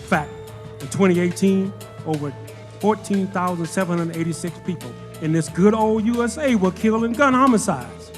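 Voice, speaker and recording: Man acting a sarcastic narrator, higher-pitched. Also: normal speed, soft background music, lightly acted. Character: bitterly sarcastic male narrator and social critic. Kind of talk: scripted audio drama monologue.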